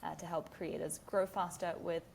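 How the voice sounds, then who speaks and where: A woman's voice: on the low side, flat delivery, normal speed, vocal fry, a little mellow. Brief: woman host, Australian accent, giggly and chatty, faint background noise. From New Zealand. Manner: giggly, chatty, lots of fillers. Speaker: podcast host. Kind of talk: casual interview.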